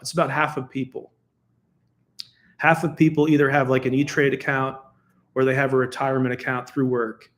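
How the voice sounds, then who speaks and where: A man from the United States giving a defensive, argumentative solo commentary, talking casually and laughing between points. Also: mid-range voice. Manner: conversational with fillers and restarts. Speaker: political commentator.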